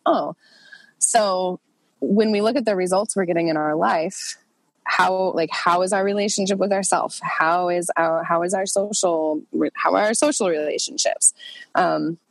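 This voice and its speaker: Woman coach w a head cold, kinda nasal, rambly casual talk, lowish voice, dry close mic. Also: normal speed, no echo, rough recording. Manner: chatty, loose, many fillers and restarts. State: congested from a cold. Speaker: coach leading an online group session.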